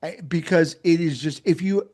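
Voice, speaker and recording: Enthusiastic male podcast co-host, slightly high. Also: normal speed, darkish tone. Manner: enthusiastic, emphatic, repeats himself. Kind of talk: casual chat.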